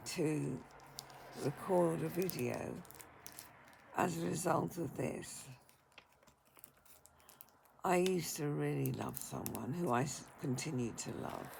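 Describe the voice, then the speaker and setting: An older woman speaks in a tired, croaky, husky voice with a gravelly edge. She talks very slowly and haltingly, pausing to take deep breaths. A steady hiss of noise sits under her casual home vlog. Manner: slow and hesitant, taking deep breaths before speaking. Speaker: vlogger.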